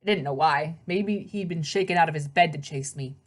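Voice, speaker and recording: Low-pitched woman reading a story aloud. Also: brisk. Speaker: read-aloud narrator. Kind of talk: scripted storybook narration.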